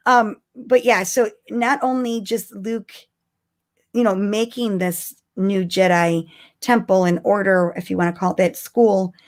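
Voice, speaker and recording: A woman's voice: medium pitch, fairly even intonation, a little slow. A woman co-hosting a podcast in casual fan discussion, thinking aloud in a conversational, emphatic way. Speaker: podcast co-host.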